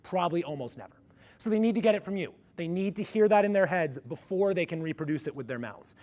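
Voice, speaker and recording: A man presenting a music education workshop to a live audience, with a ringy, resonant voice and an energetic coaching manner. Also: high voice, quick pace. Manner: energetic, coaching the audience through vocal exercises. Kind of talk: conference workshop talk. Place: conference room with a live audience.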